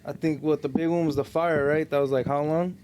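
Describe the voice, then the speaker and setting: Male co-host, American, slightly high voice. Laid-back, sweary, slang-filled casual chat. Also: fairly even intonation, brisk, darkish tone, a little hiss or hum. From California Central Coast, United States.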